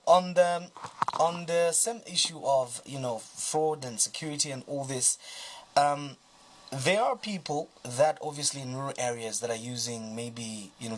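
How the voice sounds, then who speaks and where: Man, talk show host, lively voice, faint background noise. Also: medium pitch. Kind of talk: hosting a studio discussion with call-ins.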